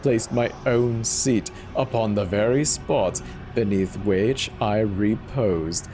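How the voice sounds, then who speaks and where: A man playing a slow, theatrical madman murderer. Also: fairly low pitch, mellow, soft background music. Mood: smug, confident. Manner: theatrical, deliberate with drawn-out pauses. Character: guilt-racked madman murderer confessing in growing paranoid agitation. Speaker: storyteller. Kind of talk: dramatic horror story reading.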